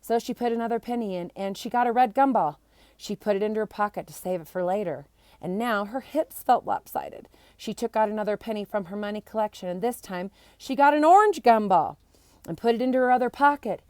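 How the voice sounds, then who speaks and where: A woman reads a children's storybook aloud as an amateur narrator. Her delivery is expressive and animated, at a middling pitch. The sound is dry, with no room echo. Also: fairly quick.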